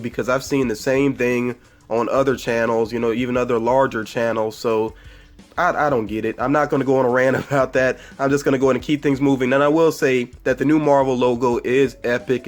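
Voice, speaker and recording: A man with a cold and a congested voice gives a casual, rambling movie review, full of fillers and self-corrections. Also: mid-range voice.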